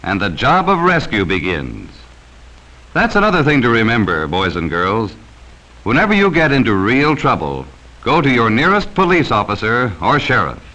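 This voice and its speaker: American male, 1940s educational narration, scripted, cautionary, forceful bright delivery, animated intonation, vintage soundtrack. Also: medium pitch, moderate pace, poor audio. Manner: stern, cautionary, addressing children directly. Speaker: narrator of an educational safety film.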